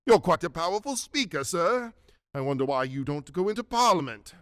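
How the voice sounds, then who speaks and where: A man's voice: fairly high pitch, big pitch swings, fairly quick, dry recording, studio quality. A man playing a bitter, miserly older businessman in a radio drama, curt, scornful and sarcastic. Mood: irritated, mocking.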